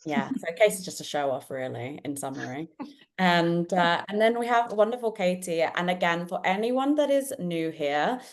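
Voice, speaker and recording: A woman hosting an online panel discussion, talking in an energetic, chatty and jokey way. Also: fairly low pitch, moderate pace. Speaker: panel host.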